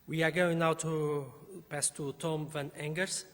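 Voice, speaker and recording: Flat-voiced moderator man. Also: a little high, unhurried. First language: Portuguese. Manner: formal, introducing speakers. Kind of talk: panel moderation. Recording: echoey conference hall.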